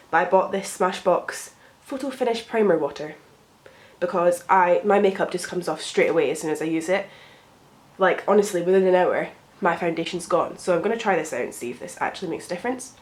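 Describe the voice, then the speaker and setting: A young woman with a cold, sounding sniffly, chatting in a rambling way with frequent ums as she does her makeup for a get ready with me vlog at home. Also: fairly low pitch. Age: young adult. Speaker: beauty vlogger.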